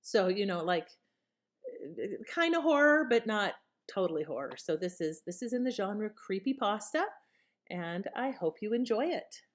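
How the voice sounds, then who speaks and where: Lady host chatting and explaining, rambly asides, medium pitch, conversational. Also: sing-song, normal speed. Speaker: host introducing old radio shows. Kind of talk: casual explanatory chat.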